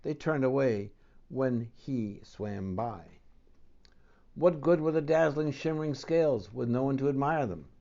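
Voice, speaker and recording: A man's voice: mid-range voice, lively intonation, a little slow, gravelly, mellow, lo-fi sound. A man reading a picture book aloud for children as a storyteller and host.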